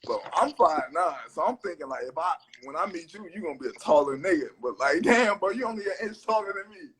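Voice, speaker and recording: A man hosting an interview, asking questions in a quick, joking, teasing way. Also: high-pitched, animated, fairly bright tone. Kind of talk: casual interview chat.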